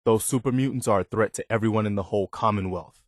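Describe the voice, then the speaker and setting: An actor playing an earnest, dutiful, plainspoken militia lieutenant in a post-apocalyptic video game. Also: male voice, on the low side, quick pace, no echo, clean studio sound, natural voice. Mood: earnest, concerned. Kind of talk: video game character dialogue.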